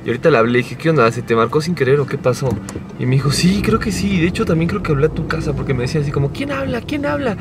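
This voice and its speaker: Animated Mexican man vlogging from a car. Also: a little high, rapid-fire. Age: young adult. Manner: playful, animated storyteller. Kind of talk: casual vlog chat. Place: inside a moving car. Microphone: camera mounted on the dashboard. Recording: car cabin with clear road noise.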